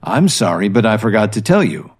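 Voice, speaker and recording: A man playing a polite, unflustered hotel employee, courteous and measured, in an audio drama. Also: on the low side, big pitch swings, slightly rough. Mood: polite, firm.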